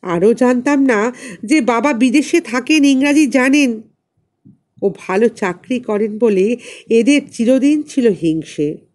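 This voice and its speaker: Woman, audiobook narrator, higher-pitched voice, unhurried pace, dramatic literary delivery. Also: a little mellow. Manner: dramatic, acts out dialogue. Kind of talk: expressive literary story narration in a boy's first person.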